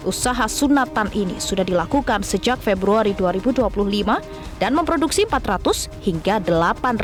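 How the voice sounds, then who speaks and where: High-pitched woman narrating TV news.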